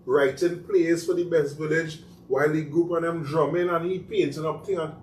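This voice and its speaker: A man's voice: a little high, fairly even intonation, moderate pace, distant mic. A man with a Trinidadian accent hosting a casual talk show, rambling through stories with emphasis. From Trinidad and Tobago. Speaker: host. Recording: echoey room, voice from off-mic, faint noise.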